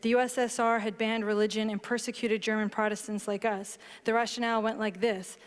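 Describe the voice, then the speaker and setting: Woman in her thirties, measured panel speaker, headset mic, large hall, echoing reverb. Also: fairly high pitch, flat delivery. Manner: explanatory, measured. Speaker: book author on a panel. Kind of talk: panel talk and reading aloud. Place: large hall stage before an audience.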